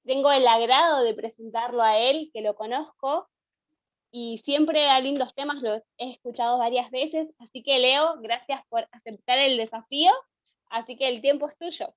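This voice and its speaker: High-voiced Argentine woman on a phone. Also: moderate pace, dry recording, highs cut off. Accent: Argentine Spanish. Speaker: co-host. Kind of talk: livestream hosting.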